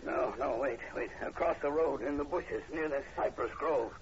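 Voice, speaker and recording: An actor playing a kindly, protective professor in old radio horror, genteel in manner. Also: male voice, on the high side, normal speed, lightly acted. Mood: urgent. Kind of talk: 1940s radio horror acting. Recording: muffled, faintly hissy old radio recording.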